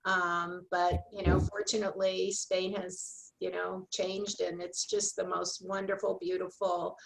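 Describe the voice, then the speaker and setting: A woman's voice: on the low side, little pitch movement, a little slow, creaky, low-quality recording. A woman hosting an online wine tasting series, introducing her guest on a video call with gushing praise and many superlatives.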